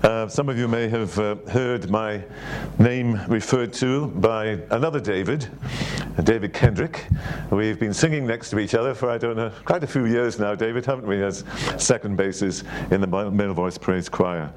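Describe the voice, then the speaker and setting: Older Welsh man preaching, low voice. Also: a little hiss or hum. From Wales. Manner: gentle, unhurried, with frequent ums and uhs. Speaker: church secretary giving a sermon. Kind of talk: sermon with scripture reading.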